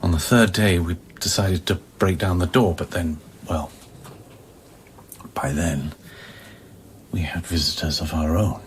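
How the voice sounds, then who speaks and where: An actor playing a wry, probing, world-weary scientist in a radio play, speaking in a dry, measured, confiding way. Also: male voice, low voice, fairly even intonation, a little hiss or hum.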